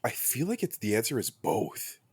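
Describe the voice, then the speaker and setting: A man's voice: medium pitch, sing-song, moderate pace, husky, dry recording, studio quality. A man chatting casually and jokily about movies as a podcast panelist. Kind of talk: casual film chat.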